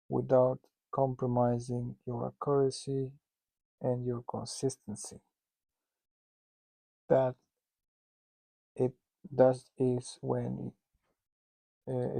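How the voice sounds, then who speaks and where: A man with a Russian accent teaches in a slow, unhurried way, with a low-pitched, gravelly voice. His tone is flat and mellow. He jokes at his own expense and stumbles through restarts, in a casual lesson. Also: no echo. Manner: joking and self-mocking, with loose asides; halting, with many restarts and fillers. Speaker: instructor teaching founders.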